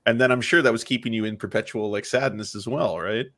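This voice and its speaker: A young man in his twenties joining a livestream as a guest, playful and quick to laugh. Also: fairly low pitch, a bit bright. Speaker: guest streamer. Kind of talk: casual chat on a livestream. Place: home room with posters. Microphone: studio mic on a video call.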